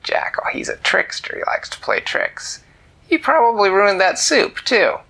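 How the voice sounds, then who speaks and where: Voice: medium pitch, sing-song, measured pace, creaky, pushed and bright, husky, pitched above the performer's own voice, heavily acted. A storyteller voicing an ancient, grumpy male cat who talks in curt, whispery grumbles. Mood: grumbling.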